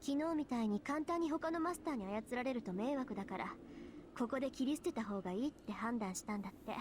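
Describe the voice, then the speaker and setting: A woman voicing a proud, blunt teenage schoolgirl mage in a visual novel, brisk and matter-of-fact. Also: on the high side, animated, background noise, close to an everyday voice. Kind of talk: Japanese visual novel voice acting. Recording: game voice with loud music behind.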